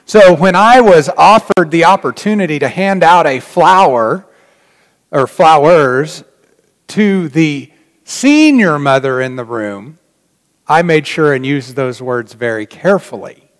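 A middle-aged man speaks as a pastor in a big, reverberant church. His fairly high voice swings up and down expressively. He addresses the congregation informally, with a folksy, dry manner and long pauses. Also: animated. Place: large church sanctuary, indoors.

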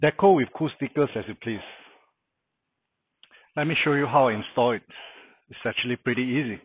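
A man's voice: medium pitch, brisk, husky, dry recording. A middle-aged man casually walking through a car camping setup, explaining things plainly with frequent uh fillers. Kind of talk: casual how-to walkthrough. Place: outdoor carport beside a house. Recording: dry, phone-like narrow sound.

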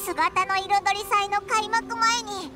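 A woman's voice: very high voice, steady tone, takes it slowly. A woman voicing a small, childlike fairy companion in an anime game, with blunt, boyish, cheeky speech. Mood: cheerful. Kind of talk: anime game cutscene acting. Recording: loud music behind the voice.

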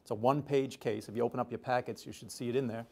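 A man's voice: medium pitch, steady tone, rapid-fire, gravelly. A middle-aged man, a professor, teaching informally and explaining step by step in a conversational way in a classroom. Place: tiered university classroom.

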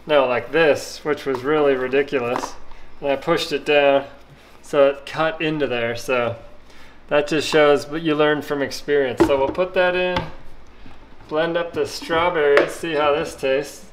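Middle-aged man, slightly high voice, relaxed home cooking vlog, kitchen clatter behind. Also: a little slow, noisy background. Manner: relaxed, talks through each step while working. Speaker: home cook vlogger. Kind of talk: casual cooking demo.

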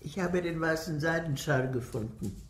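A woman's voice: deep voice, moderate pace, echoey room, a little hiss or hum, close to an everyday voice. An actress playing a frail, cunning old lady in a radio play, commanding and pleading by turns. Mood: commanding, secretive. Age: older. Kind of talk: German radio play acting.

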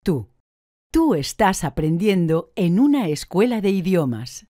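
Slow, mellow woman answering drills. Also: on the low side, very animated, no room sound, clean studio sound. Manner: slow, deliberate, clearly articulated answers. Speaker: language course speaker.

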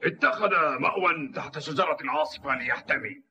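Animated old grandfather, quick and bright. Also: on the high side, muffled recording, slight background noise. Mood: calm, advising. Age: older. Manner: instructive, patient explaining. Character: gruff but caring old mountain grandfather. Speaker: grandfather character in a cartoon dub. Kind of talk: dubbed cartoon acting.

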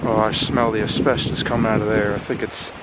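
A man narrating his own exploration of abandoned buildings in a dry, wry, deadpan way, filmed on a handheld camera. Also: fairly low pitch, brisk, very noisy. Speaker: urban explorer vlogger. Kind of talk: casual solo exploring commentary. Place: abandoned buildings and overgrown fields, indoors and outdoors.

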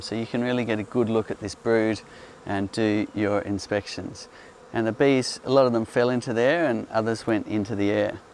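A man calmly explaining beekeeping outdoors among hives, speaking in a gentle, explanatory way while hosting a live Q&A. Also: fairly low pitch, a little hiss or hum. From Australia. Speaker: beekeeper host. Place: outdoors on a hillside among beehives. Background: faint outdoor ambience and buzzing bees.

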